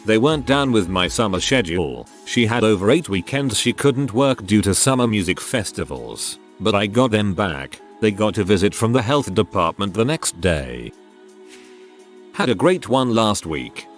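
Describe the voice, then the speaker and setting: Lively low-voiced male story narrator. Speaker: narrator reading online stories. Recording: faint music underneath.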